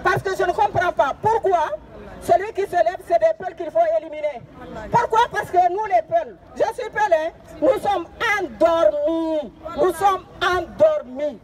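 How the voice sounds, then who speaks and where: A woman's voice: extremely high pitch, unhurried. A woman activist giving an impassioned protest speech, emphatic and repetitive as she urges her listeners on. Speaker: activist speaker. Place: outdoors at a street demonstration. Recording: noisy outdoor crowd, street traffic. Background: crowd and vehicle noise.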